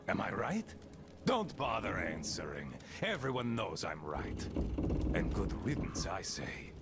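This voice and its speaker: A domineering, sardonic leader in a video game, with a gravelly voice that swings widely in pitch. He is pompous and sneering, rambling on as he sizes people up. Loud rumbling noise runs behind him. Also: very animated, measured pace, exaggerated voice. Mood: scornful, smug.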